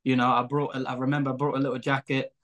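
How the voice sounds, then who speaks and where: A man's voice: mid-range voice, little pitch movement, rapid-fire, a bit bright, dull audio. A young man from Angola, whose first language is Portuguese, talking casually in an interview, rambling with lots of fillers and small laughs. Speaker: guest, show creator.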